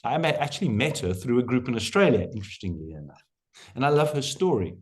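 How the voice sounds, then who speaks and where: A man's voice: mid-range voice, fast. A man leads an online workshop as coach, and his voice rises and falls a lot as he drives each point home. He talks over a video call in an emphatic, repetitive lecturing style. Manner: animated, emphatic lecturing with repeated phrases.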